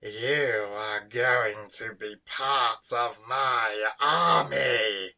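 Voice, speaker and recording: A man voicing a cruel giant female vampire bat queen with a deep, grating, hissing voice. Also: very slow, gravelly, sharp tone, far from the mic, full character voice. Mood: gloating. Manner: hissing, cackling.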